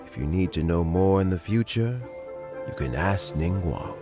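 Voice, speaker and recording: An actor playing a wise elderly spymaster in a game, giving calm, measured advice. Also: male voice, low voice. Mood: calm counsel. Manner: measured, advising, gently paced. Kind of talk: game voice acting. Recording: game audio with faint music. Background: faint music.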